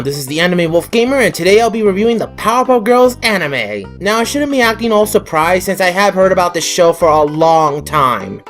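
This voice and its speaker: A reviewer giving a scripted, informal and opinionated review as host of an online show. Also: mid-range voice.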